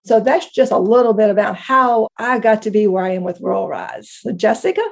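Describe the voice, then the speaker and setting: A woman's voice: mid-range voice, steady tone, brisk, creaky. A woman with an Appalachian accent, a co-founder guest on a podcast, telling her story in an enthusiastic, talkative way. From rural Appalachia, West Virginia. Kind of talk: conversational interview answer.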